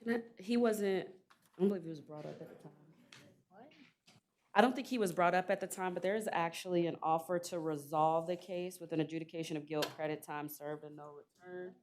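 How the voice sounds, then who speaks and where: A woman's voice: lowish voice, fairly even intonation, fairly quick, a little mellow. A woman defense lawyer arguing before a judge in formal legal phrasing. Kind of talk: courtroom argument.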